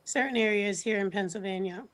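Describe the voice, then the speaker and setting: An American woman hosting a casual livestream chat, speaking in a hesitant, halting way. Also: medium pitch.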